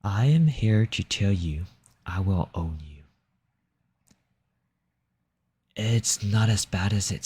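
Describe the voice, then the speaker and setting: A man playing a possessive, seductive demon in an intimate audio roleplay, speaking formally in a breathy, coaxing, menacing way. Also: low voice, a little mellow, exaggerated voice. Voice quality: husky, breathy, often dropping into whisper. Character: possessive seductive male demon, silver-tongued and domineering.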